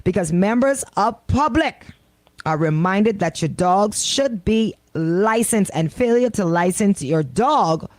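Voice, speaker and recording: A woman's voice: mid-range voice, no echo. Bahamian woman hosting radio in a dry studio. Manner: animated, emphatic, colloquial. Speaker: radio host. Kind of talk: casual radio chat. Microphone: close studio microphone.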